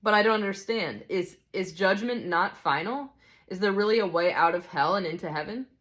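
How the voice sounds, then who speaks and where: A woman's voice: mid-range voice, expressive, moderate pace, a bit bright, voice pushed up, natural voice. A woman voicing an earnest, deferential man who puts polite, eager questions in a storybook reading. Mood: puzzled, curious. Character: earnest, deferential male narrator questioning his teacher.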